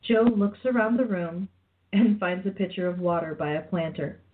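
A woman's voice: lowish voice, normal speed. A woman reading scripted narration for a radio play. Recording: tinny phone-like sound, faint music behind.

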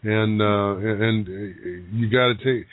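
A man working as a psychic medium who gives halting, searching readings to callers on a live call-in radio show, with many restarts. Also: lowish voice, no room sound. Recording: dry, narrow phone-like broadcast sound.

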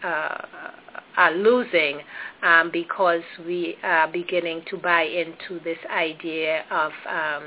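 A Trinidadian woman with a low-set voice talks through her answers slowly and carefully. She fills gaps with ums and restarts her phrases. The sound is narrow and phone-like. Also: fairly even intonation. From Trinidad and Tobago. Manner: thoughtful, hesitant, many ums and restarts. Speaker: guest. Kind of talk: interview conversation.

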